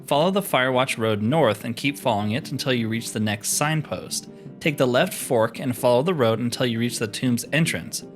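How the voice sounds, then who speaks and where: A man's voice: mid-range voice, normal speed, soft background music, close to an everyday voice. Man reading as a boastful, self-assured adventurer. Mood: brisk, confident. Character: gruff, boastful male fantasy adventurer hunting relics. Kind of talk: reading fantasy game dialogue aloud.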